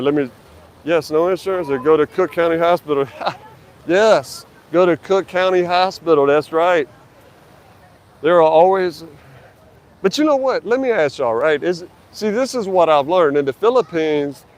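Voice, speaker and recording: A man live-streaming on a city street, talking loosely with lots of fillers and restarts into a handheld mic. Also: a little high. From United States. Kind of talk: casual live-stream chat.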